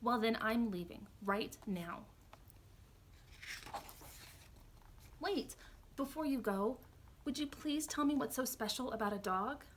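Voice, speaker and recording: A woman's voice: on the high side, animated, normal speed, a little hiss or hum, lightly acted. A woman reading a picture book aloud, voicing a stubborn child who insists on getting a dog. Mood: exasperated.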